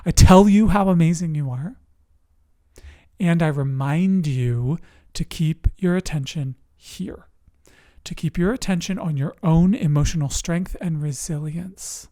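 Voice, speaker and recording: A resilience coach telling personal stories in a motivational talk, speaking earnestly and deliberately in short phrases with pauses. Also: medium pitch, dark tone, no room sound, studio quality. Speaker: host, emotional resilience coach.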